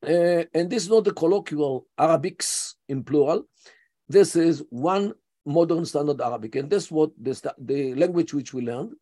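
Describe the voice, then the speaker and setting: Israeli man in his seventies, mellow. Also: fairly high pitch, normal speed. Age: 70s. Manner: hesitant with many fillers, emphatic. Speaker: guest scholar. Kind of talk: interview conversation.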